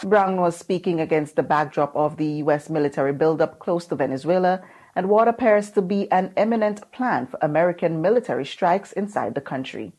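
A woman reporter narrating a scripted news item in a crisp, neutral delivery. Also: fairly low pitch, expressive, fairly quick, darkish tone.

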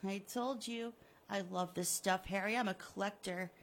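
A woman's voice: medium pitch, moderate pace, a little hiss or hum, close to an everyday voice. A woman doing a gloating, sadistic male killer. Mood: taunting. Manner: mocking, softly menacing, drawn out.

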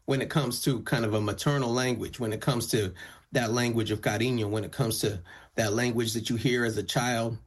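A man's voice: mid-range voice, fairly even intonation, brisk, slightly rough. A male musician whose first language is Spanish, answering interview questions thoughtfully, often restarting his phrases. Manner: thoughtful, frequent restarts and fillers. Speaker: musician guest.

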